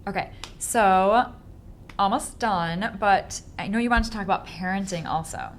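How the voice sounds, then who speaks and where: Chicago woman hosting, low rumbly noise behind. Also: normal speed. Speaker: talk show host. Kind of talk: casual interview chat.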